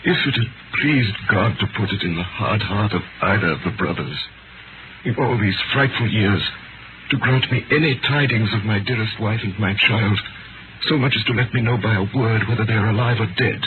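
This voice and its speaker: An actor playing an imprisoned French doctor in a 1930s radio drama, delivering a grave, deliberate, bitter testament. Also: male voice, on the low side, close to an everyday voice. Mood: anguished, denouncing. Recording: scratchy, tinny 1938 radio broadcast, loud noise.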